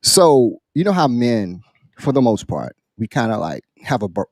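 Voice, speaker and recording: A man hosting a casual podcast chat, halting and repeating phrases as he builds up his questions in an animated way. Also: mid-range voice, no echo. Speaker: podcast host posing a question.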